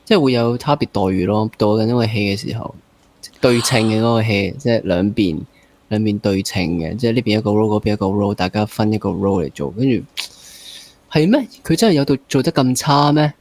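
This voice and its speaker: A man's voice: on the low side, fast, darkish tone. A young man with a Hong Kong Cantonese accent hosting a conversational interview, mixing English words into his speech. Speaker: podcast host.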